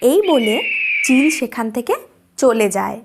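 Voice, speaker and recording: A woman's voice: on the high side, unhurried, creaky, very noisy. A woman narrating a scripted children's story as its storyteller.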